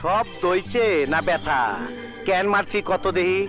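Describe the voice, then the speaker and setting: Man acting a cartoon animal, high voice, brash, crude, mocking, music behind. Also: unhurried. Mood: taunting. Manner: crude mocking street talk. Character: brash, foul-mouthed, taunting cartoon animal.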